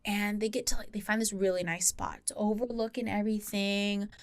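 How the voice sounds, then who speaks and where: A woman's voice: medium pitch, little pitch movement, fairly quick, no room sound. A woman co-hosting a podcast, telling a story in a casual, informal way with chatty asides. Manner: informal, giggly, self-interrupting asides.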